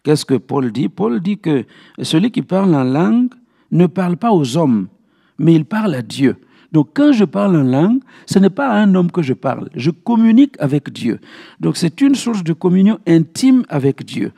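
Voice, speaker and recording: A man's voice: fairly high pitch, very animated, moderate pace, gravelly, dark tone, breathy edge. A man speaking as a pastor, teaching a Bible study in a didactic way and repeating key phrases for emphasis. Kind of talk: Bible teaching with verse citations.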